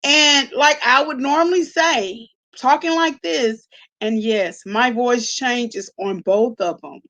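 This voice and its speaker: A woman's voice: a little high, takes it slowly, fairly bright tone, rough recording. A woman hosting an online commentary channel, chatting casually and informally in a gossipy way, with salty slang and frequent check-ins with the listener. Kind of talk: casual chatty reaction vlog.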